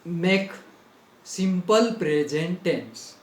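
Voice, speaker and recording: Slow, echoey Indian English teacher. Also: male voice, sits high, lively intonation. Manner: slow, spells out words letter by letter; repeats phrases for dictation. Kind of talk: grammar lesson.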